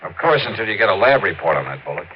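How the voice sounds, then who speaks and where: Wry radio detective, muffled vintage sound. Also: male voice, low voice, sing-song, very fast, slight background noise. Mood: probing, casual. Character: wry, shrewd freelance insurance investigator and narrator. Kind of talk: 1950s radio detective drama. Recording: muffled 1950s radio recording.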